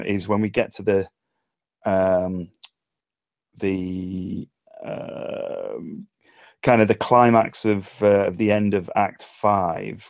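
A man's voice: fairly low pitch, vocal fry, a little mellow, no room sound. An English man giving informal script feedback in hesitant phrases with dry humour. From England. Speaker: table read participant giving script feedback. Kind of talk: informal spoken critique. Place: remote online voice call.

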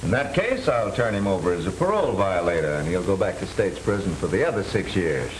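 Brief: middle-aged crooked American broker, smooth schemer, animated intonation, old film hiss. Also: male voice, low-pitched, fairly quick, rough recording. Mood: calculating. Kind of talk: 1930s film acting. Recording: hissy 1930s film soundtrack.

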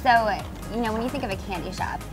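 A woman's voice: mid-range voice, fast talker, over music. A young adult woman hosting a bubbly, curious promotional interview inside a restaurant. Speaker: host. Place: restaurant bar and candy shop indoors.

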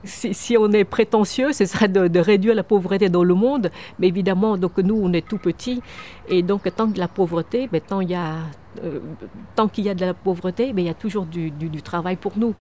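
Earnest woman from Vietnam, mellow voice. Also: mid-range voice, brisk, slight background noise. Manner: earnest, many restarts and repeated words. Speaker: founder of a microcredit charity. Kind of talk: interview answers. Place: outdoors on a wooden terrace among trees.

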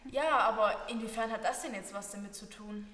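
A woman's voice: medium pitch, fairly quick, a bit bright, roomy sound, in a large hall. A teenage girl in a classroom acting in an amateur short film, speaking sarcastically. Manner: sarcastic, dismissive. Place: classroom indoors.

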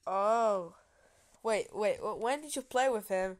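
A woman chatting casually while playing a strategy game with a friend, excitable and joking, often tripping over her words. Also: mid-range voice, slow pace. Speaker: gamer streaming with a friend. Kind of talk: casual gaming chat.

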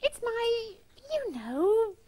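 A woman's voice: very high-pitched, sing-song, drawn-out words, darkish tone, exaggerated voice. A woman voicing a childlike girl puppet in a children's show, plaintive, dramatic and easily indignant. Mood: bashful.

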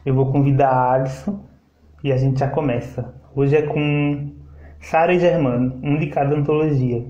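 Editor hosting a video-call live, roomy sound. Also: male voice, mid-range voice, fairly even intonation, normal speed, warm tone, muffled recording. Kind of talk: casual video-call chat. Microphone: laptop or phone on a video call.

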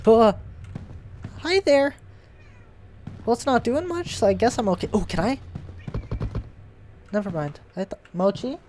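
A young man with a stuffy nose, chatting and reacting out loud to scares as he plays a horror game at home. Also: sits high, slight background noise. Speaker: gaming YouTuber. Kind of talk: horror game let's play commentary. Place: home gaming room, indoors.

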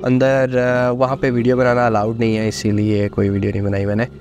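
A man in his thirties, a motorcycle vlogger, chatting in a relaxed way while riding on a highway and mixing English words into his talk. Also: brisk. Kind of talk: casual travel narration while riding. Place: on a motorcycle on a desert highway, outdoors. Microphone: helmet or action-camera mic. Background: faint wind and engine noise.

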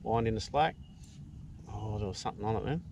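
A middle-aged Australian man giving an unhurried, casual fishing tutorial, explaining step by step from a kayak. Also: on the low side, noisy background. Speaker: fishing vlogger. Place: outdoors in a kayak on open water.

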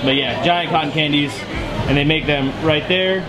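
A man's voice: a little high, moderate pace, low-quality recording. A man in his twenties, a travel vlogger chatting casually to a camera held at arm's length on a busy shopping street. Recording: busy street noise with loud music.

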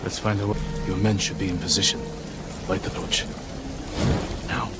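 An actor playing a resolute, stoic samurai lord, calm and grave, in a dramatic video game. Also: male voice, sits low, moderate pace, gravelly, very noisy. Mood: calm, firm.

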